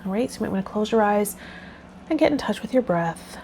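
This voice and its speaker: A woman hosting a tarot vlog, reading card meanings and then talking loosely and gently about the week ahead. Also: medium pitch, expressive, a little fast. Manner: loose and rambling with fillers when unscripted. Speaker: tarot vlogger.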